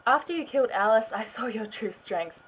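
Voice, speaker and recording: A woman's voice: fairly high pitch, moderate pace, breathy edge, poor audio. A woman voicing a moody teenage boy who talks with a gloomy sigh. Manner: gloomy, flat.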